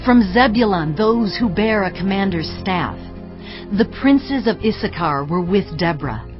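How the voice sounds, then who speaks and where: A woman's voice: mid-range voice, animated, unhurried, muffled recording, lightly acted. Solemn, commanding prophetess played by a woman, music behind. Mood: exalted, declaiming. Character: authoritative female prophet and leader, solemn, exhorting. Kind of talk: dramatized audio Bible acting, declamatory.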